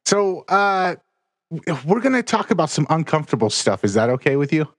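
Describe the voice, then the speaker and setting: A man's voice: fairly high pitch, big pitch swings, fairly quick, no echo, clean studio sound. An American man hosting a podcast, asking questions in a conversational way with frequent fillers. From United States. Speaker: podcast host. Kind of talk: casual interview questions.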